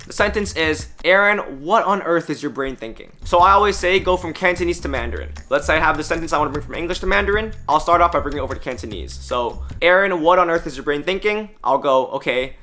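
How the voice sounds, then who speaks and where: Bright-voiced upbeat male language tutor. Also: fairly high pitch, animated, fast, few pauses. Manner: upbeat, with wry joking asides. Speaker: language teacher and host. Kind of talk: casual explanatory tutorial.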